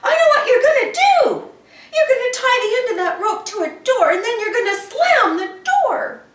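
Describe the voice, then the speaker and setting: A woman voicing a whiny little boy with a sore tooth, yelling and wailing. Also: very high-pitched, lively intonation, normal speed, voice pushed up. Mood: gleeful. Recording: echoey room, mic far off.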